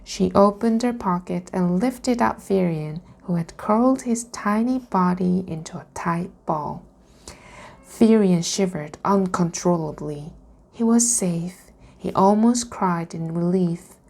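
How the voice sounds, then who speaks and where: A woman reading a children's storybook aloud in a deliberate way. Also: on the low side, creaky, darkish tone. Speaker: reader practicing reading aloud.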